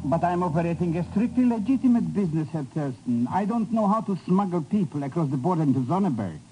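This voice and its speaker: An actor playing an excitable, wily European smuggler in a 1950s radio drama, theatrical and wheedling, on a noisy old recording. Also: male voice, fairly high pitch, fast, natural voice. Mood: protesting.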